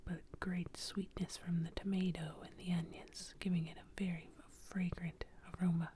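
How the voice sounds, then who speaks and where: A woman telling an improvised bedtime story in a soft ASMR whisper. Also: on the low side, level tone, normal speed, slight background noise.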